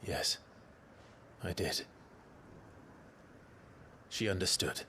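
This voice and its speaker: A man's voice: sits low, drawn-out words, pushed and bright, slight background noise, heavily acted. A man playing a brooding, resolute warrior leader in a cinematic video game, speaking with grave, understated authority. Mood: grave, subdued.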